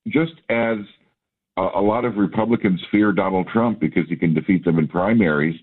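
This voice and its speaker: A man's voice: deep voice, normal speed. A man as a guest commentator on a radio interview, speaking assertively with wry remarks. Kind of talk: phone-in interview. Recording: phone line.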